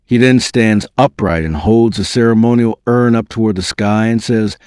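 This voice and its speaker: A man's voice: on the low side, normal speed, darkish tone, clear, resonant tone, no echo, studio quality. A man reading aloud as a narrator, in a dramatic scripted audiobook style. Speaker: narrator reading a book excerpt.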